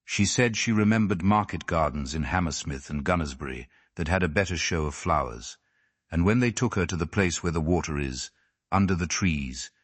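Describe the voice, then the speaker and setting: A man's voice: low-pitched, no room sound. A man reading classic fiction aloud as scripted audiobook narration. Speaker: audiobook reader.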